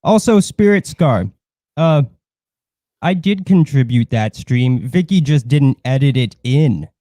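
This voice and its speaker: A man's voice: medium pitch, expressive, takes it slowly, dark tone, no echo, studio quality. A man chatting casually as a show's co-host. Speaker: show co-host. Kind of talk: casual chat.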